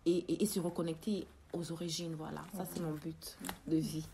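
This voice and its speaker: A woman in her forties from Congo, a native Lingala speaker, tells her personal story haltingly as an interview guest. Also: sits low, steady tone, gravelly, dark tone, a little hiss or hum. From Congo-Brazzaville, then Italy from age twelve. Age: 40s. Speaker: interview guest, tea entrepreneur.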